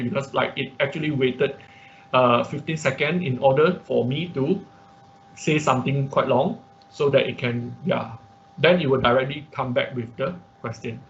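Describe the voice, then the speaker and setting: A man teaching an informal online programming class, thinking aloud haltingly and repeating his words as he explains. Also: medium pitch, vocal fry. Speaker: instructor. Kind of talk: informal online programming lesson with live demonstration. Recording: muffled, noisy online class audio.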